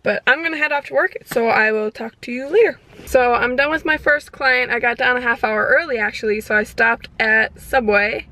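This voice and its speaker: A young woman talking casually and candidly in a personal daily vlog, rambling a little and hoarse from a long day of talking. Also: on the high side, normal speed, few pauses, sharp tone, poor audio. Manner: rambling, candid, self-deprecating. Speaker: vlogger.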